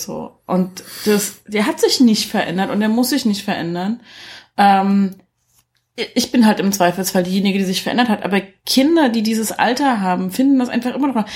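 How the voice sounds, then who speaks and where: A woman's voice: medium pitch, a little mellow. A woman, chatting casually about film as a podcast co-host. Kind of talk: casual film review chat.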